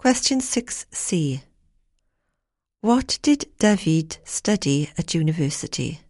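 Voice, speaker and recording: A woman's voice: lowish voice, very animated, darkish tone, dry recording. Woman reading exam instructions, dry. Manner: slow, deliberate announcing.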